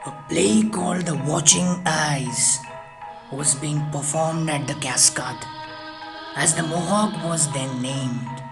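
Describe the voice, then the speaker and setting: Slow, deliberate storyteller over prominent music. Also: medium pitch, gravelly. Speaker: storyteller, audiobook reader. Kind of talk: scripted ghost story narration. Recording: music behind the voice.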